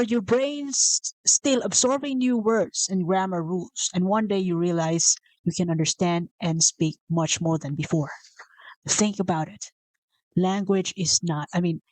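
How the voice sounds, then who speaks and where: A woman's voice: fairly low pitch, no room sound, poor audio. A woman from the Philippines with a Filipino accent, whose first language is Bisaya, hosting a motivational podcast in an earnest way, repeating herself and correcting herself as she goes. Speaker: podcast host. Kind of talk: motivational talk.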